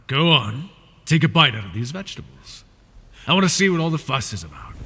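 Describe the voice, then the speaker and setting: A man voicing a wounded male dragon who keeps a human captive, soft-spoken and polite, often slipping into whispers, for an ASMR fantasy roleplay. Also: mid-range voice, husky, full character voice. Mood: tender. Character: wounded male dragon, possessive yet doting captor.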